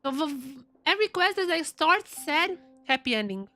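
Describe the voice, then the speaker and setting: A woman's voice: high-pitched, sing-song, moderate pace, bright, forceful tone. A woman live streaming and reacting casually to a game, chatty and repeating phrases for emphasis.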